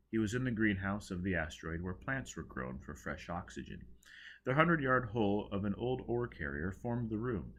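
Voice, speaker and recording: A man reading an audiobook, narrating dramatically with a theatrical, expressive delivery. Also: on the low side. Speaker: audiobook reader.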